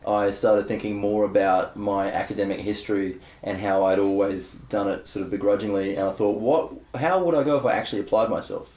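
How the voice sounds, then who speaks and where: A young man with a fairly low voice chats openly in an interview, wandering through his thoughts with plenty of fillers and false starts. The room around him is echoey and hollow. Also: a little fast, few pauses, a little mellow. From Australia. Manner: rambling, candid, many fillers and restarts. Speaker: guest bass player. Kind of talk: casual interview chat.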